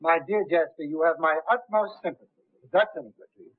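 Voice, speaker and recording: A man acts a high-pitched, smug gentleman in 1930s film style. He is haughty and sarcastic. The sound is dry and thin, like an old soundtrack. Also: no room sound, narrow, tinny audio, lightly acted. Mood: smug, mocking. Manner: haughty, sarcastic drawn-out delivery. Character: smug, mocking man refusing a loan.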